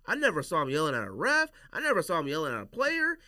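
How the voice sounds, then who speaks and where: A man's voice: a little high, wildly swooping pitch, fast talker, pushed and bright, dry recording, studio quality. An American man hosting a casual sports podcast, giving emphatic, opinionated takes and repeating his points for emphasis.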